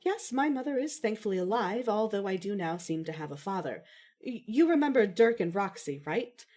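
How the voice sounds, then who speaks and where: A woman's voice: mid-range voice, animated, moderate pace, darkish tone, lightly acted. A woman playing a composed, dry-witted young daughter with a formal, slightly wry manner in amateur audio fiction.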